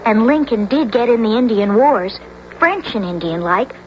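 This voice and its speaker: A woman's voice: fairly high pitch, lively intonation, normal speed, lightly acted. A woman playing an anxious, bookish teenage girl in a radio drama, earnest and hesitant in her speech. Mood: amazed, earnest. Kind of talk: 1970s radio drama acting. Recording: muffled, faintly hissy old radio recording.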